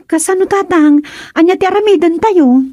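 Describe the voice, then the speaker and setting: A woman's voice: extremely high pitch, normal speed, mellow, dry recording, studio quality. A woman playing a young, innocent, questioning daughter with an Ilocano Filipino accent, hesitant in radio comedy-drama style. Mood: hesitant, curious.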